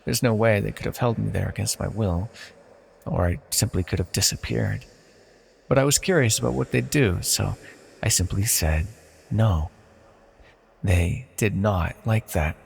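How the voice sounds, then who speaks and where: Casting: male actor as ancient villain sorcerer for fantasy roleplay audio. Low, gravelly, formal, possessively tender, condescending, drenched in reverb. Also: exaggerated voice. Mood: haughty, amused. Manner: soft-spoken, condescending, theatrically formal. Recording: long hall-like reverb.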